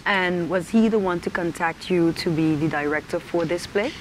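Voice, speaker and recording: A woman with a slightly low voice speaks as a talk show host in a conversational interview style. There is faint noise behind her. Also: moderate pace.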